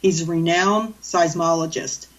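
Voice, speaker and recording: A woman's voice: fairly low pitch, steady tone, a little slow, recorded from a distance. A woman, a guest animal communicator, telling stories in a rambling, chatty way with many restarts. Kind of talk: storytelling in a radio interview.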